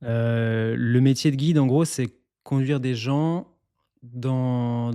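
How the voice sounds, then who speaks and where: Mellow-voiced man from eastern France. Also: medium pitch, smooth. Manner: calm and explanatory, with some hesitations. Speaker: mountain guide. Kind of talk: interview guest.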